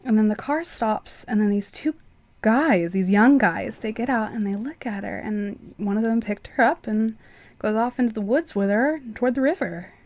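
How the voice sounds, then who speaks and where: A woman playing a caring, sleepy wife in a radio mystery drama, with a gentle, soothing manner. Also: fairly high pitch, normal speed, dry recording, close to an everyday voice. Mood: concerned, soothing.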